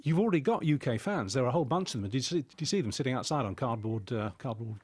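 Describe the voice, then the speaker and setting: A male radio host with a quick delivery and lively, swinging intonation. He chats and jokes his way through questions in a rambling, conversational style. The sound is dry and close, from a studio microphone. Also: mid-range voice, husky, dry recording. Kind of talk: chatty live radio interview.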